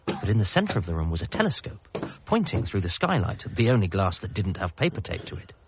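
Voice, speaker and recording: An actor playing a wry, wistful British son, narrating a radio comedy drama in the first person with dramatic pauses. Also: male voice, sits low, sing-song, background noise. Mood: wry, suspenseful. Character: bereaved ordinary son, wry and wistful storyteller. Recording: dull band-limited sound with music behind.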